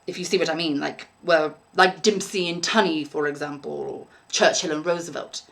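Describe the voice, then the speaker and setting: A woman reading a storybook aloud as a polite, puzzled young lodger, a young man who talks in an earnest, halting, musing way. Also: sits low, steady tone, creaky, a bit bright, slight background noise, pitched below the performer's own voice.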